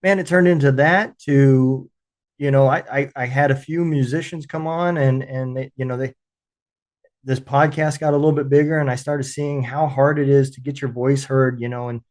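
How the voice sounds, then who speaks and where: Man, podcast host, veteran, casual chat, halting, lots of restarts. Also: mid-range voice, fairly even intonation, a little mellow. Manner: halting, frequent restarts and fillers.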